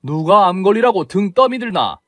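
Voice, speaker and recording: A man's voice: sits high, very animated, normal speed, dry recording, pitched above the performer's own voice. A man voicing a blunt, sarcastic older mother-in-law with a Gyeongsang accent, scolding and mocking. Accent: Gyeongsang dialect.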